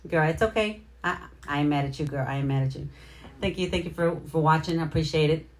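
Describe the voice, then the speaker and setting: A woman's voice: low-pitched, fast, slight background noise. A middle-aged woman hosting a casual livestream, chatting informally and slangily as she addresses her viewers directly. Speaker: livestream host. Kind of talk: casual livestream chat with shout-outs to viewers.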